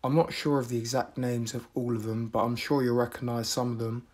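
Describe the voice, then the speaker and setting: A man giving an unboxing review voice-over in a measured, matter-of-fact way. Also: medium pitch, flat delivery, a little mellow. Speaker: video reviewer.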